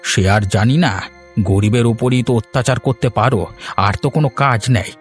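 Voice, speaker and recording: A man's voice: mid-range voice, normal speed, faint music behind, lightly acted. A man voicing a grumbling, hard-pressed old village fisherman in a cartoon. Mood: indignant. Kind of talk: Bengali moral-story cartoon dubbing.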